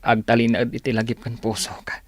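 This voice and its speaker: A man's voice: mid-range voice, completely flat delivery, brisk, noisy background, natural voice. A man acting a melancholy, stubbornly devoted Filipino lover in a radio drama. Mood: melancholy, pining.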